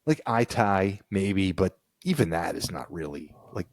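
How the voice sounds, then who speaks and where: Low-voiced man co-hosting a chat. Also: lively intonation, a little slow, dry recording, studio quality. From United States. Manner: reads passages aloud, then riffs conversationally. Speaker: podcast co-host. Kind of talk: casual chat.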